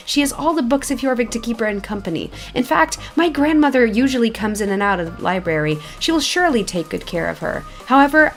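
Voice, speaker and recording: A woman voicing a small, proud, bearded old female creature from a game, with an indignant, haughty manner. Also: on the high side. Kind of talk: game dialogue read aloud.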